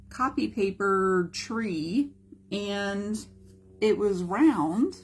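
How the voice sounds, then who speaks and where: A hoarse woman, a mixed media art teacher, chatting casually through a live craft demonstration, greeting viewers by name. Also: lowish voice, darkish tone, a little hiss or hum. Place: home art studio.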